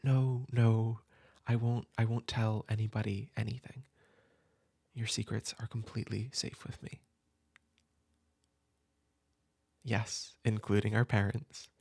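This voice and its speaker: A man playing a caring older brother who shelters a younger sibling, soft-spoken and reassuring, sometimes dropping to a whisper, in a comfort audio roleplay. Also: on the low side, level tone, measured pace, creaky. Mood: soothing. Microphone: home desk mic.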